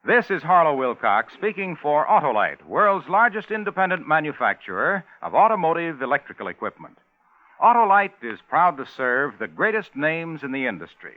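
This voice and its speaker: A man's voice: a little high, sing-song, normal speed. A man announcing scripted commercials in the style of 1950s radio advertising. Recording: tinny 1950s radio recording.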